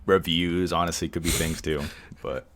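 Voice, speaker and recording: A man hosting a casual podcast chat, who edits the show, rambling along and describing his own voice as monotone. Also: deep voice, normal speed. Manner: relaxed, rambling, says he has a monotone voice. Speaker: podcast host and editor.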